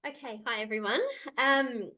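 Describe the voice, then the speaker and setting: A woman who runs a small business and teaches yoga, introducing herself earnestly to a group, pausing often to find her words. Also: a little high, steady tone, dull audio. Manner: earnest, searching, many pauses and fillers.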